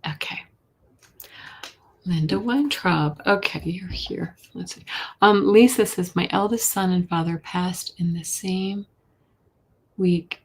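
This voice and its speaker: A woman hosting a live tarot reading, a little hoarse, chatty, with a soft voice that often drops to a whisper. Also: on the low side, slow, pauses often, slight background noise. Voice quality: soft voice, not loud. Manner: chatty, reads viewer questions aloud, drops to whispers. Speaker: intuitive reader, live stream host.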